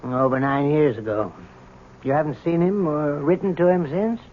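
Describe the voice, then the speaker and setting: An actor playing a humane, persuasive prison doctor with a hesitant, coaxing manner in an old-time radio drama. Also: male voice, on the high side, moderate pace, phone-quality sound, close to an everyday voice. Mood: gently insistent. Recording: thin, noisy old radio broadcast.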